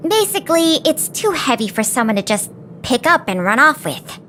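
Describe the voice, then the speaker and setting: A woman's voice: high voice, very animated, normal speed, sharp tone, a little hiss or hum, full character voice. A woman voicing an earnest, dutiful young female officer in an anime-style video game, speaking articulately and in a businesslike, polite way. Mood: explanatory, earnest.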